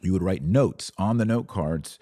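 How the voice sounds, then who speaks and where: A middle-aged American man with a very low voice, recorded close and dry. He hosts a religious commentary podcast, talking off the cuff to his listeners. He leans on key words for emphasis and drops into conversational asides. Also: normal speed, mellow, dry recording. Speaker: podcast host.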